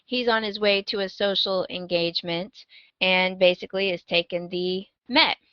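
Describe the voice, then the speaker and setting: A woman's voice: fairly low pitch, steady tone, moderate pace, no room sound, muffled recording. A woman co-hosting a podcast, chatting casually in a conversational, wry and emphatic way as she gives recommendations. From United States. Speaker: podcast co-host.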